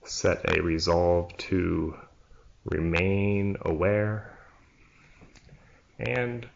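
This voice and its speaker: A man leading a guided yoga nidra relaxation as a teacher, in a hushed, soothing manner with long pauses between short phrases. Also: sits low, little pitch movement, creaky. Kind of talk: slow guided relaxation meditation. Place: church room, live class. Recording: dull, muffled live recording.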